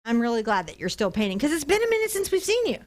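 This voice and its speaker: A woman's voice: on the high side, very animated, fast talker. A woman chatting casually and playfully as the host of an art tutorial livestream, thanking supporters.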